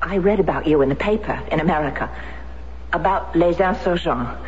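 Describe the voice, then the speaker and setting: An actress playing a middle-aged, determined American war widow in a radio drama, speaking earnestly and insistently. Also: female voice, deep voice, brisk, lightly acted. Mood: earnest, intent. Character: determined American war widow seeking her husband's betrayer. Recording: dull, noisy vintage radio recording.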